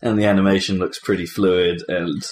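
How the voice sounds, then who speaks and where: A man hosting a casual podcast discussion, thinking aloud in halting, emphatic phrases. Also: deep voice, flat delivery. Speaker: podcast host presenting award categories. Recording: roomy, faint background noise.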